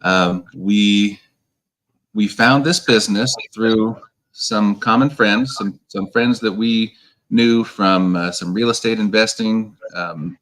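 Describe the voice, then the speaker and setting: Earnest man guest in his 40s, slightly low voice, relaxed storytelling. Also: takes it slowly, low-quality recording. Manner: earnest, repeats words as he thinks. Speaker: interview guest. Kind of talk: casual online interview.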